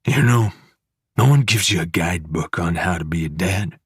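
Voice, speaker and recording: A man voicing a tearful, remorseful aging father who pleads in halting bursts. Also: low-pitched, slightly rough, dry recording, clean studio sound, heavily acted. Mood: pleading.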